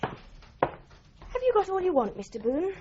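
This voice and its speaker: A cool, arch secretary in a 1980s radio play. Her voice is high and lively in intonation, on a slightly dull old broadcast. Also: slow pace, noisy background, heavily acted. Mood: helpful. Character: brisk, cool office secretary, primly teasing. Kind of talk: 1980s radio drama acting.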